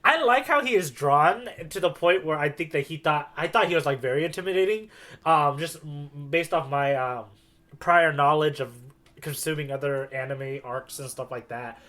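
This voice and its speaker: A man's voice: on the high side, normal speed, a bit bright. A man co-hosting a podcast, chatting casually in a rambling, enthusiastic way. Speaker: podcast co-host. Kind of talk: casual chat.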